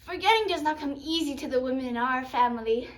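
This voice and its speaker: A woman's voice: sits high, fast talker, lightly acted. A woman playing a secretive, brusque grandmother who instructs her granddaughter in firm, curt phrases. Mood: grave.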